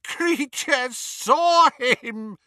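Croaky old elf, high and gaspy, all broken up, dry audiobook read. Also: male voice, extremely slow, pushed and bright, dry recording, heavily acted. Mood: frantic, wailing. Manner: gasping, wailing, drawn out. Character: old male house-elf, servile, grieving, frantic. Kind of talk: audiobook character voice.

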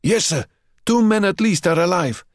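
A man's voice: high-pitched, lively intonation, normal speed, no room sound, clean studio sound, voice pushed up. A man voicing a nervous young marksman reporting to his commander. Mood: tense.

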